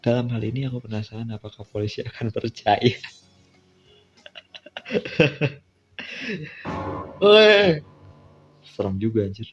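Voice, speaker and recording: A man's voice: mid-range voice, slow, pauses often. A man with an Indonesian accent reads a visual novel aloud as a casual playthrough, dropping in offhand asides. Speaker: video game player reading a visual novel aloud. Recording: dull lo-fi mic, music behind.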